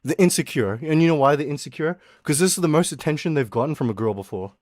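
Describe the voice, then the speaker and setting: A young man streaming as a VTuber, ranting in a casual, animated way. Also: fairly high pitch, dry recording, clean studio sound. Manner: animated, exaggerated, quick mock voices and laughs.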